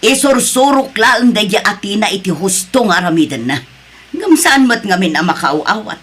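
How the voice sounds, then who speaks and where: A woman's voice: medium pitch, lively intonation, normal speed, a bit bright, slightly rough. A woman with an Ilocano Filipino accent playing a stern, faultfinding supervisor in an audio drama, with a scolding, haughty manner. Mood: haughty, irritated.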